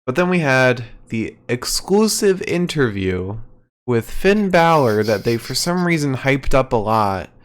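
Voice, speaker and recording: A man's voice: medium pitch, sing-song, measured pace. A man co-hosting a wrestling podcast, recapping in a rambling, hesitant way with lots of fillers. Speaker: podcast co-host. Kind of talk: casual wrestling recap chat.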